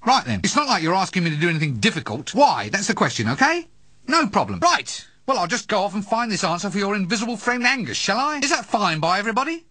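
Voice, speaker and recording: An actor playing a cowardly, put-upon wizard adventurer in a comic video game, sardonic, nervous and whining. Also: male voice, high voice, very animated, fast, pushed and bright, breathy edge, no echo, exaggerated voice. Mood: exasperated, sarcastic.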